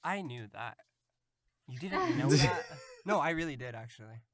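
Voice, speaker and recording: A woman's voice: very deep voice, lively intonation, moderate pace. A woman in a casual group chat, reading out questions as a castmate.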